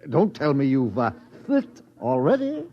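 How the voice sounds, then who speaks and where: Excitable tipsy doctor, 1950s radio comedy, swoopy exclamations, muffled sound. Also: male voice, mid-range voice, unhurried, slight background noise. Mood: astonished. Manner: exclamatory, blustering, repeats himself. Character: excitable, boozy racetrack doctor, theatrically astonished.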